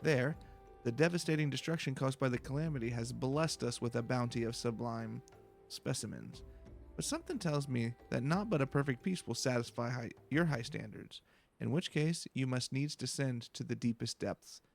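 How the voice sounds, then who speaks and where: Man doing a grandiose, excitable researcher, slightly creaky. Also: medium pitch, normal speed, soft background music, lightly acted. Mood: gleeful, grandiose. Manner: grandiose, alliterative, theatrical. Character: self-important, excitable male student researcher, florid and grandiose. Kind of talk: video game dialogue read aloud.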